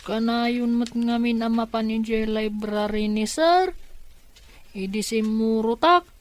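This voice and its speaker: A woman's voice: on the high side, slow pace. A woman with an Ilocano Filipino accent playing a naive, questioning young niece in a radio drama. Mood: plaintive.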